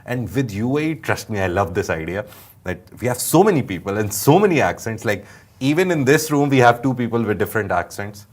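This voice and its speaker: A low-pitched man with a Pakistani accent, sounding like he is in his thirties. He talks in an earnest, reflective way, with plenty of fillers, while co-hosting a studio chat. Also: animated, brisk. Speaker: co-host.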